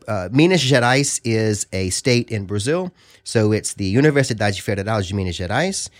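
A man hosting a podcast, talking in a loose, rambling way. His voice sits at an ordinary middle pitch, and he circles back on himself with fillers and restarts. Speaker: podcast host. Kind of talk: casual podcast chat.

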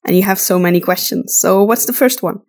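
A woman's voice: mid-range voice, a little fast, darkish tone. Woman co-hosting a casual podcast. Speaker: podcast co-host.

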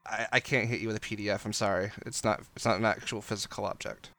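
A man's voice: fairly low pitch, flat delivery, quick pace, fairly bright tone, no echo. A man co-hosting a podcast in casual banter, wry and playfully literal. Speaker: podcast co-host.

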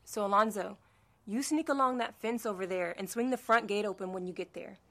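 A woman playing a capable, bossy leader of zombie survivors in an audio drama, brisk and commanding. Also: mid-range voice, fairly bright tone, close to an everyday voice.

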